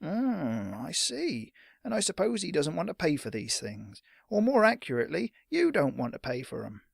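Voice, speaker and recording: A man's voice: a little high, huge pitch swings, normal speed, gravelly, breathy edge, dry recording, studio quality, voice pushed up, exaggerated voice. A man doing a suspicious little shopkeeper. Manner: curt and suspicious. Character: small, stout, suspicious male shopkeeper.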